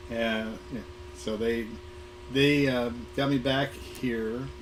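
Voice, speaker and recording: A middle-aged or older American man from Southern California with a hoarse voice, giving an informal talk about his career in a halting way, repeating words and hesitating often. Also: medium pitch, fairly even intonation. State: hoarse, getting over an illness. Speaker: guest speaker, museum programming manager. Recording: noisy live recording with room ambience.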